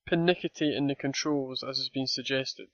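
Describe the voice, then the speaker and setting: A man in a casual podcast chat, speaking in a measured, wry way. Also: medium pitch, steady tone, a bit bright, no echo, dull audio.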